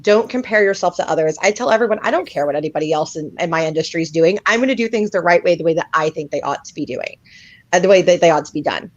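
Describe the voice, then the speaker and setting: A woman host with a lowish voice chats warmly and affirms her guest. Her voice comes through a dull, dry recording with no room sound. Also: animated, fast talker, dry recording. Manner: affirming, chatty. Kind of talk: live interview chat.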